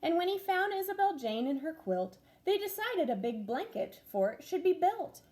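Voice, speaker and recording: A young woman, a first grade teacher, reading a rhyming picture book aloud with expressive, sing-song storytelling. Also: very high voice, moderate pace.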